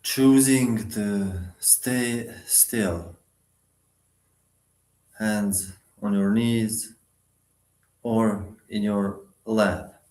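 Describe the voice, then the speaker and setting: A man leading a guided meditation, giving instructions in short, sparse phrases with long pauses between them. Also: on the low side, steady tone, a little mellow, reverberant, rough recording.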